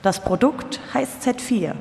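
A woman's voice: a little high, expressive, a little slow, creaky, a little mellow. A woman reading scripted documentary narration as a narrator in a live radio feature. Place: large reverberant hall. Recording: long hall reverb, live performance.

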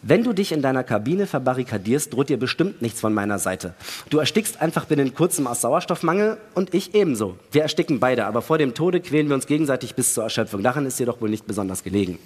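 A man's voice: medium pitch, big pitch swings, darkish tone, husky. Man acting a suspicious astronaut in an echoey hall. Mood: grim, calculating. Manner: argumentative, methodical, deliberate reasoning. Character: male astronaut, suspicious, coldly calculating, accusing his crewmate. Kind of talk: live dramatic reading of a sci-fi radio play. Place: large hall, stage reading. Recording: echoey hall, long reverb.

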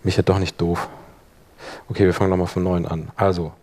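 A man leading a workshop, explaining informally and thinking aloud while he operates the software. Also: low voice, flat delivery, brisk. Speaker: workshop instructor.

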